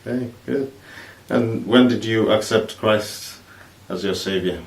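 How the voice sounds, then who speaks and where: A man, co-hosting a vlog in halting, casual chat. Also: fairly low pitch. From East Africa, born in Kenya, Ugandan. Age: 30s. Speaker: vlog host. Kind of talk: casual couple chat to camera. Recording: roomy, distant mic, faint noise.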